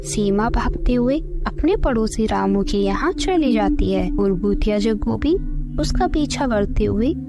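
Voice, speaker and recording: A woman's voice: on the high side, expressive, measured pace. A woman reading scripted narration for a cartoon story. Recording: loud music under the voice.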